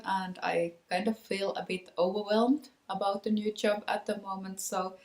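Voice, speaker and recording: A young adult woman with a Finnish accent hosts her knitting video podcast in a relaxed chat to camera, often pausing with ums and restarting her sentences. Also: medium pitch. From Finland. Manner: hesitant, many ums and restarts. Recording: echoey room.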